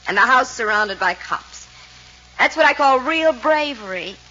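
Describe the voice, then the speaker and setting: An old, dull radio recording of a defiant young woman. Her bright voice has a sharp edge, sarcastic and haughty, in the clipped style of 1940s radio drama. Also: fairly high pitch, animated, measured pace, exaggerated voice. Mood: mocking.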